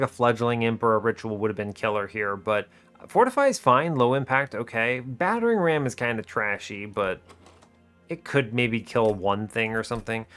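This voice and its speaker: A man's voice: mid-range voice, fairly bright tone. A man giving casual gameplay commentary, chatty and informal, thinking aloud as he explains his strategy. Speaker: host of a gaming video series.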